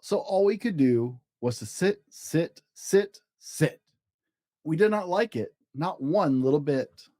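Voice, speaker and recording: A man hosting and narrating a children's storybook with expressive, deliberate, dramatic rhyming delivery. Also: fairly high pitch, dry recording, studio quality. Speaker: host and storybook reader.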